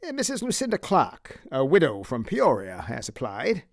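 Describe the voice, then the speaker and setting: A man voicing an earnest colony leader in an audiobook, courteous, deliberate and formal. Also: mid-range voice, huge pitch swings, creaky, dry recording, clean studio sound, full character voice. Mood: businesslike. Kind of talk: audiobook character acting.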